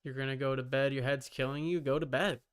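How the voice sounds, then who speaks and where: A man's voice: medium pitch, steady tone, fast talker, background noise. A man giving casual live gaming commentary, muttering to himself and chatting to viewers, often repeating his words. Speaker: game streamer.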